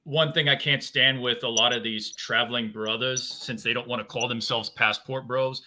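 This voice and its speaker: A man gives his view in a casual group talk, measured and explanatory, with a few uh and um pauses. His voice is mid-pitched but very bright and cutting. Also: fairly even intonation. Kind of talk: casual debate commentary.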